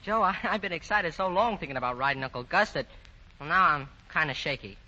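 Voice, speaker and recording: An actor playing a nervous, eager young kid jockey in a 1940 radio drama, polite and stammering. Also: male voice, a little high, expressive, fast, bright, forceful tone. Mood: excited, shaky. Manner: stammering, deferential. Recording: dull vintage 1940 radio recording.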